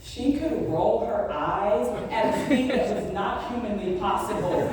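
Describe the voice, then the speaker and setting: A middle-aged woman giving a humorous storytelling speech on stage, theatrical, with dramatic pauses and emphasis. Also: high-pitched. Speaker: speech contest speaker. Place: large indoor hall, before an audience. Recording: very echoey hall, distant mic, faint noise.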